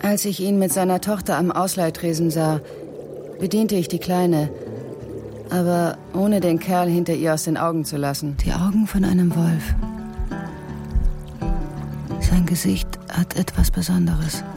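A woman's voice: fairly low pitch, fairly even intonation, unhurried, creaky, long pauses, music in the background. An actress playing a fierce, yearning woman telling her story in a radio drama.

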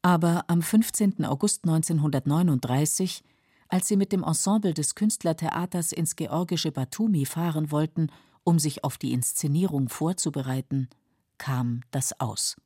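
A woman reading scripted biographical narration in a measured, matter-of-fact way, as a documentary narrator. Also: low voice, mellow, husky, no echo, studio quality. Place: dry studio.

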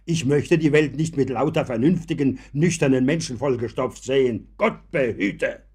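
An actor playing an opinionated old professor in a German radio drama, emphatic, ironic and given to philosophizing. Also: male voice, medium pitch, moderate pace, darkish tone, slightly rough, natural voice. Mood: scornful, animated. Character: opinionated old professor defending imagination over sober reason.

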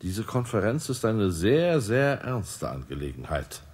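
A man's voice: on the low side, very animated, measured pace, darkish tone, slightly rough, exaggerated voice. An actor playing the stern, formal chairman of a heavenly conference in a radio drama. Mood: grave. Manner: grave, formal, commanding.

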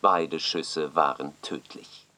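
A sober male official in a radio play. He has a low voice and reads his report formally, factually and with detachment. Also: fairly even intonation, takes it slowly, bright, forceful tone, slight background noise, heavily acted. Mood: detached.

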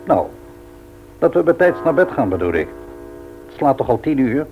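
A man's voice: medium pitch, very animated, moderate pace, soft background music. An actor playing a grumbling, teasing old husband and grandfather in a radio play, muttering and repeating himself. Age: older. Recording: dull old radio recording.

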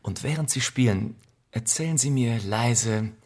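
An actor in a German radio drama playing a worldly, cynical, middle-aged aristocrat with an urbane, ironic, theatrical delivery. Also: male voice, medium pitch, a little slow, a little mellow. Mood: languid, admiring. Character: worldly, cynical aristocratic older friend, witty and languid.

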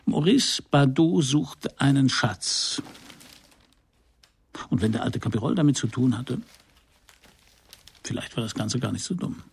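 An actor playing a wry, hard-boiled private detective in a radio crime play, with a dry, laconic delivery. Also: male voice, medium pitch, animated, a little slow, creaky, pauses often, breathy edge, heavily acted. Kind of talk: first-person crime radio play narration and dialogue.

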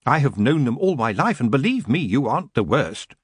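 A man voicing a calm, polite young man who stands firm in a storybook, measured and courteous. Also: medium pitch, husky, no echo, studio quality.

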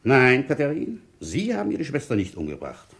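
A man playing a shrewd, wry village police inspector in a radio play, deliberate and brooding as he tells his case. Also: medium pitch, wildly swooping pitch, vocal fry, breathy edge, full character voice. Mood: coolly accusing. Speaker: narrator and lead actor. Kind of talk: radio play, first-person detective narration.

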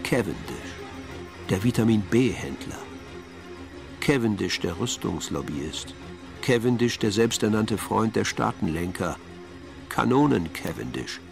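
A man narrating a radio drama as a dry, composed storyteller, in a measured, literary manner. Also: lowish voice, expressive, gravelly, music in the background.

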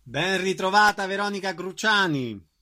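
A man with a voice pitched a little higher than average. He works as a radio host, interviewing guests and presenting in a clear interview format. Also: lively intonation, moderate pace, a crowd in the background.

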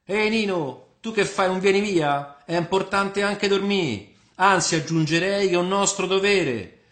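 A man voicing a tipsy, jovial older Roman popular leader, loud, singsong and drawling, in an audio storybook. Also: high voice, unhurried, echoey room, voice pushed up. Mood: philosophical teasing.

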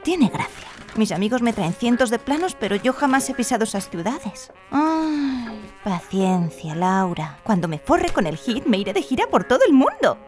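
A woman with a Spanish accent playing an excitable, chatty dreamer in a naturalistic comic radio fiction. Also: on the high side. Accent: Spain. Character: Laura, excitable chatty woman who collects postcards and dreams of fame.

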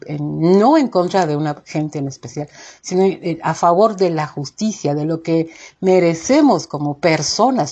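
Husky, indignant Mexican woman host. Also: lowish voice, warm tone, dull audio. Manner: indignant, emphatic, rhetorical questions. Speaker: show host. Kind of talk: informal opinion monologue to listeners.

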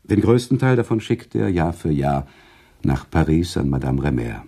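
Thoughtful male storyteller, radio play narration. Dark husky voice, lively intonation, deliberate, sympathetic. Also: extremely low pitch, heavily acted. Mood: reflective.

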